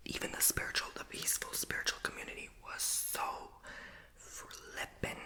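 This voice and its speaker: A woman's voice: moderate pace, pushed and bright, rough recording. A young woman whispering as she chats while doing a puzzle, rambling, playful and blunt. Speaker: vlogger.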